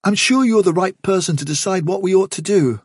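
A man's voice: high voice, fairly quick, slightly rough, dry recording, clean studio sound, voice pushed up. A man voicing an earnest, respectful young male rabbit in a storybook, with a polite, hesitant and deferential manner. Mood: respectful, explaining.

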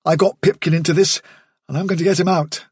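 A steady, thoughtful young leader rabbit, voiced by a man in a storybook reading. He speaks in a calm, measured way, close to the reader's own slightly husky voice, recorded dry. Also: fairly high pitch, dry recording, pitched above the performer's own voice. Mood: resolute.

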